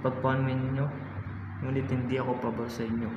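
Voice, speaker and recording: A man playing an earnest, principled Filipino patriot in an amateur Tagalog radio drama, reciting in a formal, measured way. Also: medium pitch, flat delivery, a little mellow, a little hiss or hum, lightly acted. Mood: firm, reluctant. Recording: muffled, echoey reverberant hall, music behind.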